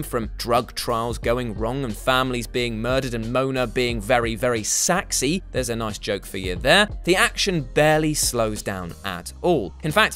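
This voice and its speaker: Guy doing a jokey list video, really up and down voice, sarcastic, bit of music behind. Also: medium pitch. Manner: animated, theatrical emphasis, sarcastic asides. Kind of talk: scripted, jokey countdown commentary. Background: background music.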